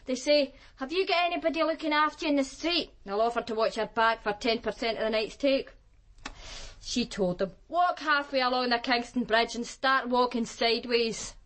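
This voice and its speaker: An actress playing a streetwise, defiant Glasgow sex worker with a Glaswegian accent, blunt and colloquial in a radio drama. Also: female voice, a little high, moderate pace, fairly bright tone, lightly acted. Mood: guarded, defiant. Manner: blunt, colloquial, matter-of-fact. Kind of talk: naturalistic radio drama acting.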